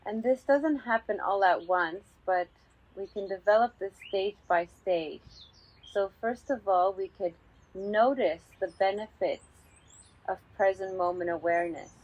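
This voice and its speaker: A woman teaching a guided meditation calmly and unhurriedly, pausing often between short phrases. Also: medium pitch. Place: outdoors in a garden. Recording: slightly dull sound with birdsong. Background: birdsong.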